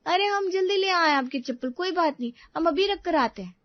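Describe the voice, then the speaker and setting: A woman's voice: extremely high pitch, expressive, fairly quick, dry recording, highs cut off. A woman voicing a naive, literal-minded young daughter-in-law in a cartoon, innocent and obliging.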